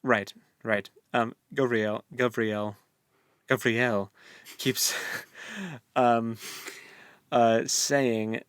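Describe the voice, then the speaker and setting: A man's voice: medium pitch, extremely slow, long pauses, clear, resonant tone. A man in his late twenties with an American accent, co-hosting a casual book discussion in a jokey way, with pop culture asides. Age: late 20s. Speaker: podcast co-host. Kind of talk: casual book club chat.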